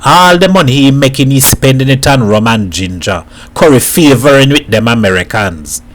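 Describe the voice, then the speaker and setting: A man's voice: medium pitch, lively intonation, normal speed, natural voice. A man voicing a blunt, teasing, streetwise Trinidadian man in colloquial speech for a story. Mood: grumbling.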